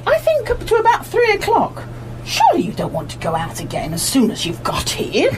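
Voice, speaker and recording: Woman playing homely, animated hostess sister. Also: extremely high pitch, fairly quick, slight background noise, heavily acted. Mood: helpful. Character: homely, fussing sister hosting guests at home. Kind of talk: live amateur radio play acting.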